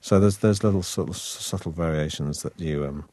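A man's voice: sits low, fairly quick, dark tone, no room sound. A man with a Manchester accent, an actor chatting as an interview guest in a wry, hesitant way full of restarts. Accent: Manchester (northern English). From Manchester, England. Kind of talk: relaxed interview conversation.

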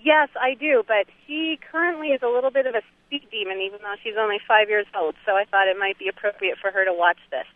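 A woman's voice: mid-range voice, fast talker, hardly any pauses, no echo. A woman calling in to a radio show, friendly and upbeat. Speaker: caller. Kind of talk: call-in comment on radio. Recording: tinny phone line.

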